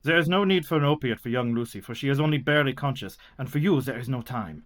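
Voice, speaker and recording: An actor playing an authoritative older physician and mentor in a radio play, formal and commanding. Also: male voice, mid-range voice, lively intonation, fast talker, natural voice. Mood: urgent.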